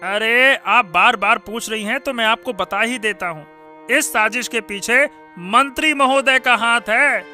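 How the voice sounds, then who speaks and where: A man plays a shrewd cartoon courtier. His voice is extremely high and piercingly bright, knowing and pointed, with music underneath. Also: a little slow, full character voice. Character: shrewd, frank male courtier advising the king. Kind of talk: animated Hindi cartoon story acting.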